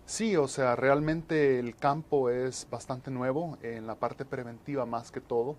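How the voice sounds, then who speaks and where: A man, explaining as an interview guest in measured, halting phrases. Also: mid-range voice, steady tone, darkish tone, slight background noise. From El Salvador. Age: 40s. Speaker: interview guest, disaster management specialist.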